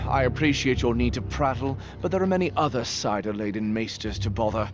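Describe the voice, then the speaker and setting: An actor playing a testy, bookish, cider-drinking scholar in a video game, with wordy sardonic speech. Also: male voice, medium pitch, brisk, natural voice. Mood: irritated. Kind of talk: video game cutscene acting. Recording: dull muffled audio under clear music.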